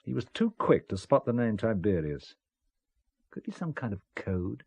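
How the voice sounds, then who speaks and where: A wry, animated husband. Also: male voice, lowish voice, moderate pace, gravelly, breathy edge, no room sound, heavily acted. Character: wry, flippant husband caught up in espionage. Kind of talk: scripted spy thriller audio drama acting.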